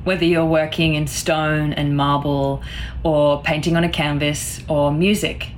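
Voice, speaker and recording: A woman's voice: sits low, flat delivery, measured pace, a little hiss or hum. A woman reading a quotation aloud.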